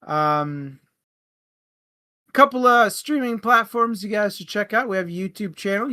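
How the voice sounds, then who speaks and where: A man's voice: high-pitched, pushed and bright. A man co-hosting a book discussion show, chatting casually in an informal, jokey and sometimes profane way. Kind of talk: casual book chat with plugs.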